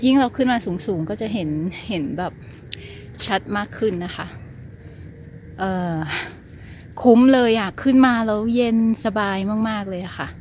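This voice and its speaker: A woman's voice: medium pitch, animated, unhurried, a little mellow, slight background noise. A woman, chatting politely as she vlogs a hike outdoors, filming on a hand-held phone. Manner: chatty, polite, many filler sounds. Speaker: vlogger. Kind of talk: casual travel vlog narration. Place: outdoors on a mountain trail. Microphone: phone camera held at arm's length.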